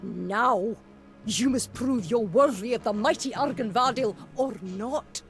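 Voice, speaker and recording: A mysterious magical advisor in a fantasy game, her highish voice full of creak. She speaks slowly and theatrically, with a teasing manner. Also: soft background music. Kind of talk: video game character acting.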